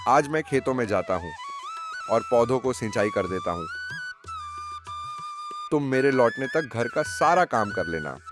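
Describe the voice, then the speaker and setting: A man playing a grumbling village farmer and husband in an expressive cartoon moral tale. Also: medium pitch, a little slow, long pauses, over music. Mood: matter-of-fact. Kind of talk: acted cartoon storytelling.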